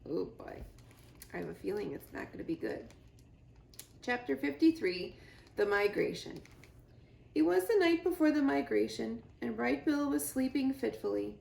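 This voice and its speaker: A woman narrates a children's story expressively. Her voice is fairly high with a creaky edge, and the room adds a clear echo. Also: expressive, moderate pace, long pauses, a little mellow. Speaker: library reader. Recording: roomy, echoey indoor sound.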